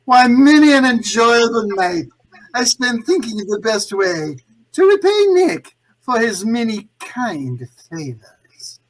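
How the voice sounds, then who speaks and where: A man playing a suave, pompous escaped-convict crime boss in a 1940s radio drama, drawling with mock courtesy in a husky voice. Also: very high voice, sing-song, takes it slowly, exaggerated voice, poor audio. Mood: mocking, menacing. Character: suave, theatrical, gloating male escaped-convict villain and gang boss.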